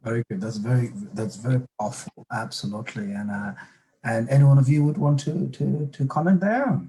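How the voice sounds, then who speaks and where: Hesitant host, halting speech. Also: male voice, medium pitch, warm tone, poor audio. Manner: hesitant, many fillers. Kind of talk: moderating a panel on a video call.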